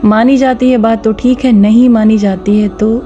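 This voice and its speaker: A woman's voice: on the high side, steady tone, moderate pace, warm tone, muffled recording, soft background music. A woman narrating a vlog, giving instructive advice directly to her viewers. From India. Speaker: vlogger. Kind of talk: vlog voice-over with gentle religious advice.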